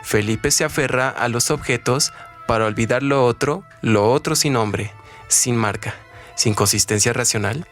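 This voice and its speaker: Man, mid-pitched, formal scripted reading. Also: moderate pace, a bit bright. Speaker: co-presenter of a literary radio segment. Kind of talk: scripted narration of literary analysis. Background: background music.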